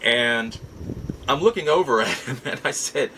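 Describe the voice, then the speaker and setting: A man telling a personal story in a casual, halting way, as host of a nostalgia show. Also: medium pitch, expressive, pushed and bright, a little hiss or hum, rough recording. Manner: halting, repeats and restarts words as he goes.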